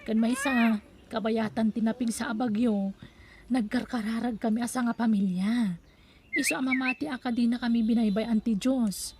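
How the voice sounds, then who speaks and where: A woman's voice: a little high, fairly even intonation, normal speed, background noise, lightly acted. A woman with an Ilocano accent playing a pious, sympathetic neighbour in an audio drama. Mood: thankful. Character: pious, kindly neighbour woman in a radio melodrama.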